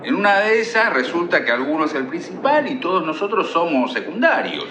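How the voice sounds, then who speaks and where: A man's voice: a little high, sing-song, a little fast, echoey room, background noise. A man playing a chatty, chiding middle-aged merchant father with an Argentine accent in comic radio theatre. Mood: soothing, chiding. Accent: Argentine, Rioplatense. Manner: avuncular, colloquial, chiding.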